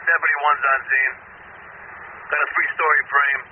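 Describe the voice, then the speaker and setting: A man's voice: fairly high pitch, little pitch movement, normal speed, far from the mic. A man working as a fire officer, giving terse reports over a two-way radio. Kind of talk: terse emergency radio dispatch traffic. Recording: noisy narrowband fire radio transmission.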